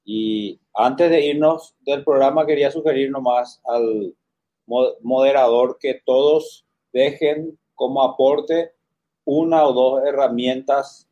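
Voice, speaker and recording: A man speaking casually as a panelist in a group discussion, over dull, low-fidelity audio.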